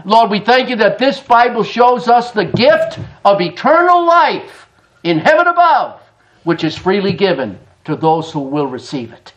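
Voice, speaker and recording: A middle-aged man preaching a sermon in a church, emphatic, with quick chuckling asides. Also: high-pitched, very animated, a bit bright, dull audio. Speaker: pastor. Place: church sanctuary.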